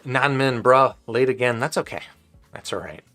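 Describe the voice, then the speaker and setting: A man's voice: mid-range voice, moderate pace, a bit bright, faint music behind. A man hosting a live stream, answering viewer questions about audio gear in a casual, rambling way, his voice getting dry and tired. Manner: rambling and conversational, with frequent restarts.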